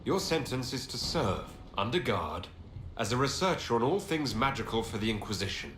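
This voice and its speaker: An actor playing a weary but decisive leader in a video game, authoritative and dry. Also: male voice, medium pitch, big pitch swings, normal speed, a bit bright. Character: weary, decisive male leader passing judgment. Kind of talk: video game character acting. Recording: roomy echo, faint noise.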